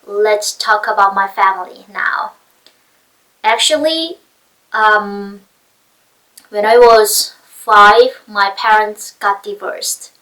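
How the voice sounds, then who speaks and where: A woman from North Korea shares a personal account in English with a Korean accent. She speaks slowly and haltingly, often searching for words, at a medium pitch. The microphone picks her up from some distance. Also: sharp tone. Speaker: speaker telling their personal story. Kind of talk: personal testimony talk, unscripted. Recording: voice picked up far from mic.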